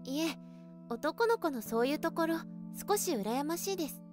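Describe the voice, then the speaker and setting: A woman playing a polite, earnest teenage high school girl in an amateur audio drama, speaking in courteous, formal phrasing. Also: lively intonation, moderate pace, over music. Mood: wistful, earnest.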